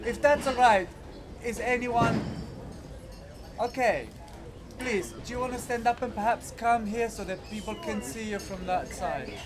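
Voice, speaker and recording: A moderator hands over the floor at a public forum, speaking politely and a little hesitantly. The voice sits at a middle pitch. A crowd murmurs clearly in the background. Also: unhurried. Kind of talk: chairing a public discussion.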